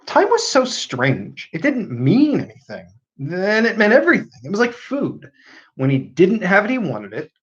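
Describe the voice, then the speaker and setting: Animated man reading a story aloud. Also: sits high, moderate pace, husky, lo-fi sound. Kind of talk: expressive audiobook narration.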